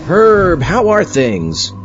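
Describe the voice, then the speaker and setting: Zombie astronaut party host, male actor: genial, lively delivery, slightly high, comedy audio drama. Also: drawn-out words, full character voice. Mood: dry, friendly. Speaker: host of the show. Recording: dull lo-fi audio, loud music.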